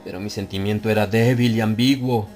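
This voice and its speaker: A man narrating a dark literary tale as an audiobook, in a grave, measured first-person delivery. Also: lowish voice, fairly even intonation. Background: soft music and ambient effects.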